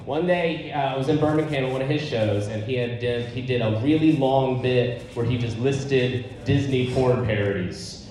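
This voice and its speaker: A man's voice: mid-range voice, normal speed, few pauses, a little mellow, heavy reverb, poor audio. A man doing a stand-up comedy set in a rambling, profane, conversational way in a live venue. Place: live comedy show, on stage.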